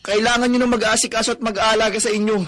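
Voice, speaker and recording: A man's voice: sits high, flat delivery, rapid-fire, a bit bright. An actor playing a devoted, respectful son who pleads politely and earnestly with a cruel father in a radio drama. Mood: pleading, hurt. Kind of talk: Filipino radio drama acting. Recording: faint music behind the dialogue.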